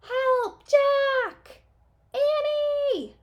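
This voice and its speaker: A woman voicing a squeamish young girl from a storybook, with loud, shrill exclamations. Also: extremely slow, muffled recording, heavily acted. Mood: calling for help.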